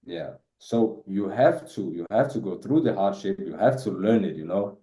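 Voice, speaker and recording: Guy, pretty deep, very dark warm tone, talks casual and says bro a lot. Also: expressive, normal speed, reverberant. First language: Danish. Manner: repeats words, says "bro" often. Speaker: guest, sales closer. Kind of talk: casual interview answers.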